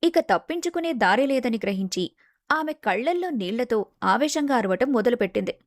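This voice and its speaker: Female storyteller: fairly high voice, scripted narration of a family drama, very dry sound. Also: animated, fairly quick, no echo.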